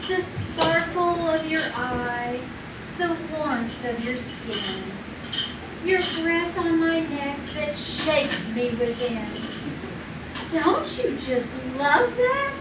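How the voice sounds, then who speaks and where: A woman's voice: high voice, expressive, very noisy, heavily acted. A dreamy lovestruck teenage girl. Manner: dreamy, slow recitation. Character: lovestruck teenage girl reciting romantic verse. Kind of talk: live radio play on stage. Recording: echoey stage recording from far off, with dish clatter.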